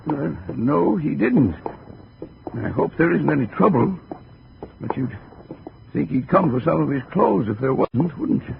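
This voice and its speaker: An American man playing a mild, obliging, worried apartment manager in a 1950s radio drama, with a hesitant, creaky delivery. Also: a little high, expressive, a little slow, long pauses, exaggerated voice. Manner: hesitant, rambling, concerned. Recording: noisy, dull old radio recording.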